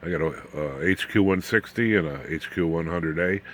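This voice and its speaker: Deep-voiced older American man, folksy and rambling. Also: normal speed, slight background noise. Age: 70s. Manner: rambling, folksy, self-deprecating humour with asides to the viewer. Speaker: ham radio hobbyist and video host. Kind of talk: casual unscripted workshop demonstration. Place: home workshop.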